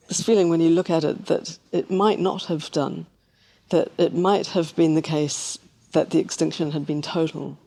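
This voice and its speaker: A woman speaking as a scientist guest in an interview, explaining things in a measured way. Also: low-pitched, warm tone.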